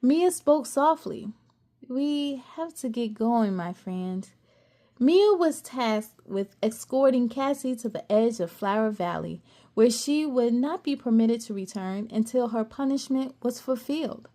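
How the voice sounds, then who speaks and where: A woman reading a children's story aloud expressively, adding gasps and excited asides. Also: on the high side, measured pace.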